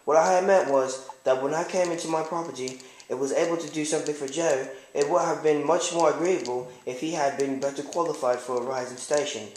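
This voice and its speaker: A man's voice: a little high, fairly even intonation, a little fast, few pauses, a little mellow, slight background noise, natural voice. A man playing a proud, condescending young man, reading first-person literary narration and dialogue formally in an amateur puppet show. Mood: earnest. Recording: echoey room.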